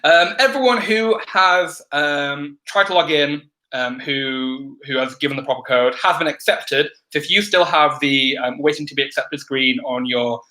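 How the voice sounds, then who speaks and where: Bright-voiced quiz host, crackly. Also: male voice, a little high. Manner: chatty, many ums, brisk instructions. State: crackly voice, clearing throat. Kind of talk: live-stream housekeeping announcements.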